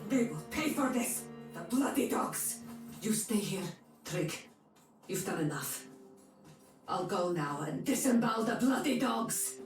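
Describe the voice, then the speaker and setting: A woman's voice: medium pitch, lively intonation, a little slow, long pauses, lightly acted. A woman playing a fierce, hot-tempered mercenary captain in a video game, blunt and commanding. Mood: furious. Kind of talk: dramatic video game acting. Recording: echoey, distant, music underneath.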